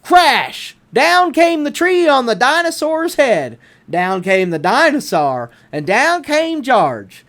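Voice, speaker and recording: A man with a Southern US accent reading a children's story aloud expressively, with dramatic, deliberate emphasis. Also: extremely high pitch, very animated, a bit bright. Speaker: storybook narrator.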